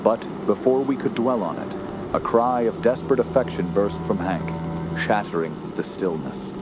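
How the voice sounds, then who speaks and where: A man's voice: fairly low pitch, normal speed, over loud music, lightly acted. A man playing a somber storyteller, narrating a radio horror drama in a grave, literary manner.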